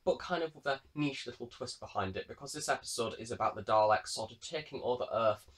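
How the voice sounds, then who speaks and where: A man's voice: mid-range voice, fast, a bit bright. A British man giving a casual, chatty product review in a rambling way. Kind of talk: casual unboxing review talk.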